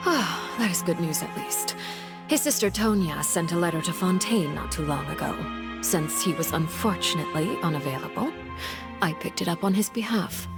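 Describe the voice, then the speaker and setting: Cool, shrewd interrogator: fairly low female voice, measured game dialogue over music. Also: lively intonation. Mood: cool, probing. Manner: measured, probing. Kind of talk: video game cutscene acting.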